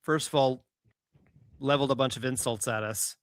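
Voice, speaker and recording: A man's voice: mid-range voice, fairly even intonation, normal speed, dry recording. A man co-hosting a podcast in casual comedic banter, mock-earnest and often repeating his words as he goes. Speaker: podcast co-host.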